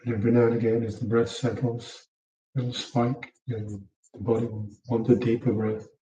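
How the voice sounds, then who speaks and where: A man leading a guided meditation as a teacher, giving calm, unhurried instructions in short, soft phrases. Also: mid-range voice, a little hiss or hum. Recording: muffled, echoey room, distant mic.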